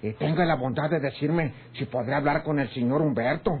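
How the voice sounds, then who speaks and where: A man's voice: a little high, a little fast, husky. An actor playing a cheeky ranch foreman with a rural Mexican accent in an old radionovela. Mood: cheeky, flirtatious. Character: cheeky, flirtatious Mexican ranch foreman, rough around edges. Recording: tinny, noisy old radio drama recording.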